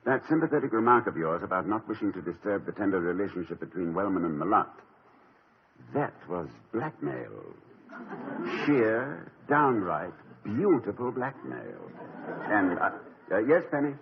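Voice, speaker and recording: A suave, middle-aged college head in an old radio play, who speaks in measured, ironic phrases. His voice moves lively up and down. The broadcast sounds dull and lo-fi, with faint hiss underneath. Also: fairly low pitch. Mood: amused. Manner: polished, gently ironic, measured. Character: urbane, witty male college president, middle-aged. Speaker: college president.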